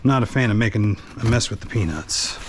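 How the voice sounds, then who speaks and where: A man's voice: lowish voice, expressive, fairly quick. Casual man talking through a hands-on job, faint noise. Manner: informal, talking through the task as he works. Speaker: vlogger, truck driver. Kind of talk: casual hands-on how-to narration. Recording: background noise while working.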